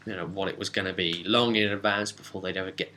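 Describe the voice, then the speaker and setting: Guy, bright punchy voice, chatty game master rambling thru a recap of his tabletop rpg session. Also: low-pitched, steady tone, fast talker. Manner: chatty storyteller, slipping into quoted dialogue. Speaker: game master and vidcast host.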